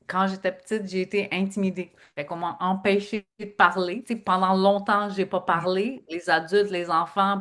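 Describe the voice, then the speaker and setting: A woman's voice: medium pitch, fairly bright tone. Middle-aged woman, Québécois accent, earnest storyteller, interview guest. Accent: Québécois French. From Quebec. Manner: earnest storyteller, leans on filler phrases. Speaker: guest, speaker and author. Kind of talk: personal interview talk.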